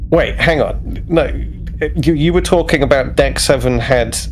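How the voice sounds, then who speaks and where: A man's voice: mid-range voice, unhurried, a little hiss or hum, lightly acted. A man playing an informal, insistent young starship officer keen to go out, in a tabletop roleplay. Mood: exasperated. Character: young male starship officer, urgent, laddish party-goer.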